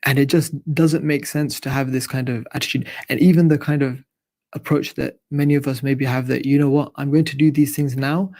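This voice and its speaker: Earnest male radio preacher, steady monotone delivery. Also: mid-range voice, brisk. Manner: earnest, repetitive, builds points in lists. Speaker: radio presenter giving an Islamic talk. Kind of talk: live radio sermon.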